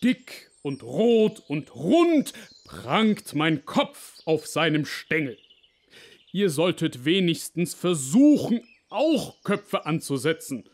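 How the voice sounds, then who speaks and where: A man playing a bossy, pompous, self-important cabbage in a children's animated fable, declaiming like a speechmaker. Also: a little high, slow, exaggerated voice. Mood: pompous, lecturing.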